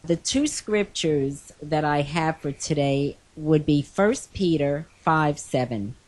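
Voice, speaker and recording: Hoarse older woman reading scripture gently. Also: low-pitched, takes it slowly. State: hoarse from a cold. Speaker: co-host.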